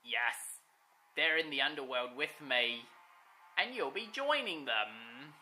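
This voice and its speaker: A woman voicing a menacing ghostly male steam engine villain who taunts in a slow, gloating drawl. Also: a bass voice, expressive, pushed and bright, slight background noise, exaggerated voice. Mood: taunting.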